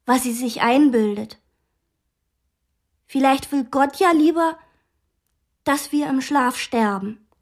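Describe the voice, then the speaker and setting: A defiant little girl in a wartime radio play. She speaks high and slowly, with solemn, contrary stubbornness and a morbid streak. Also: full character voice. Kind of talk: German radio play acting.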